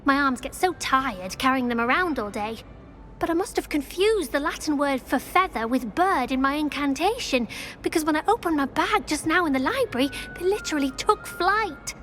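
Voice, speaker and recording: High-voiced British schoolgirl witch. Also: lively intonation, moderate pace, a bit bright, soft background music. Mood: sheepish, worried. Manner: polite, chatty, fretful. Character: earnest, apologetic schoolgirl witch, bookish and inventive. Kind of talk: video game voice acting.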